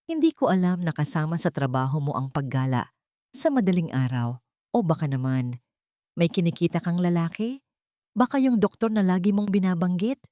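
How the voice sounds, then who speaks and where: A woman's voice: fairly low pitch, lively intonation, moderate pace, dark tone, no room sound, highs cut off, lightly acted. A woman voicing a sarcastic, menacing rich lady of the house in an audiobook drama. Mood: mocking. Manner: sarcastic, threatening. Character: sneering, menacing rich mistress of the mansion.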